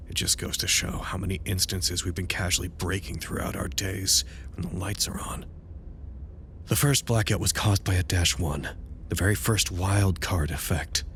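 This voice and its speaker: Low-voiced, wry male researcher. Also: slight background noise. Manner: formal, wordy, dry wit. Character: dutiful, wry male researcher at an underground anomaly site. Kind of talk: audio drama, recorded log monologue.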